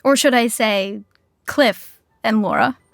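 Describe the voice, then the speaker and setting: A woman's voice: a little high, slow pace, gravelly, no echo, studio quality. A woman playing the narrator of a sitcom podcast, wry and conversational, full of asides. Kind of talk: scripted comedic narration.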